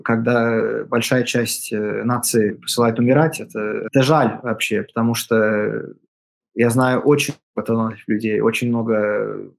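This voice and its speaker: Creaky, husky man, halting. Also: lowish voice. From Latvia. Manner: halting, many fillers and restarts. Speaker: interview guest, project author. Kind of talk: reflective interview answers.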